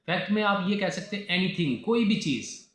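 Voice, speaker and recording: A man's voice: high voice, animated, moderate pace, a bit bright. A man from Pakistan with a Pakistani accent, giving a didactic law lecture, repeating key definitions and posing rhetorical questions. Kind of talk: classroom lecture mixing Urdu with English terms. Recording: echoey room with faint background noise.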